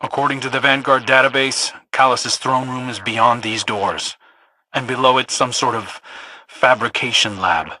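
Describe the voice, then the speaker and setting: A man's voice: mid-range voice, moderate pace, pushed and bright, no echo, poor audio. An actor playing a wry, alert male scouting companion in a video game, speaking expressively and matter-of-factly. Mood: alert, analytical. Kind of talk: scripted video game character dialogue.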